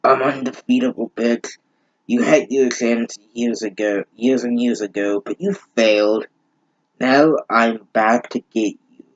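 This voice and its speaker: A man's voice: medium pitch, steady tone, measured pace, no room sound, lo-fi sound, lightly acted. A man playing a menacing, vengeful villain father with a boastful, taunting delivery in a story reading.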